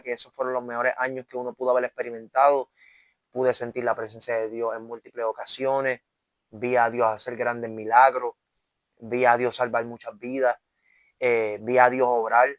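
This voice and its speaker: A man's voice: medium pitch, fairly bright tone, no echo. A man in his twenties with a Puerto Rican accent, an evangelist giving his testimony in an interview, earnest, tagging his sentences with "¿verdad?". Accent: Puerto Rican Spanish. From Puerto Rico. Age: 20s. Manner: earnest, with constant "¿verdad?" tags and restarts. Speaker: evangelist guest.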